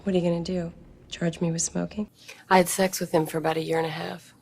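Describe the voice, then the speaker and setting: Film thriller femme fatale: low, fry-edged, unruffled, provocative. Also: female voice, level tone, fast, a little mellow. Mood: cool defiance. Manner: unruffled, teasing, defiant. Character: cool, seductive woman novelist, provocative murder suspect. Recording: faintly noisy film soundtrack.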